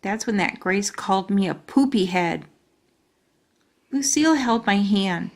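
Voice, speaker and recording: A woman reads a children's storybook aloud. Her delivery is slow, with a medium pitch, in the style of a storytime reader. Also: expressive.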